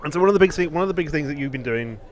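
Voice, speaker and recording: A man's voice: fairly high pitch, lively intonation, rapid-fire, a faint crowd. A man in a casual podcast chat, rambling and often rephrasing himself. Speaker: podcast host. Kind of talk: casual two-person chat.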